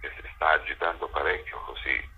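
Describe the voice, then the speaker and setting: A man's voice: extremely low pitch, a little fast, pushed and bright, breathy edge, low-quality recording. A man, a theatre author and actor, talking at length as a guest in a radio interview, rambling with many fillers and restarts. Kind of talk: radio interview, discursive talk.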